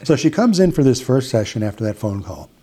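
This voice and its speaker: A man's voice: medium pitch, big pitch swings, brisk, mellow, husky. A middle-aged man with an Upper Midwest American accent, a hypnotist telling a long story in casual interview chat, with restarts and quoted dialogue. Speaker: hypnotist guest.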